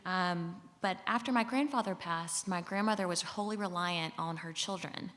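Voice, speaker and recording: A woman from Mississippi with a Southern accent, a government official giving a relaxed, friendly conference talk with fillers. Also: on the low side, moderate pace. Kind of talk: conference presentation. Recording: long hall reverb.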